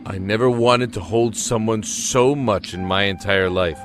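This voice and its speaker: An actor playing an earnest, anguished young man locked in a dark cell, speaking haltingly and emotionally in an audio drama. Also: lowish voice, roomy sound, lightly acted. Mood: wistful narrating. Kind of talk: audio drama acting and first-person narration. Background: music.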